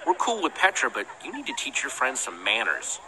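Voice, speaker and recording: Plucky young male game hero, cocky and encouraging, very bright, animated pitch, faint noise behind. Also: brisk, heavily acted, low-quality recording. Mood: firm. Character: plucky young male underdog team leader. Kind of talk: animated video game voice acting.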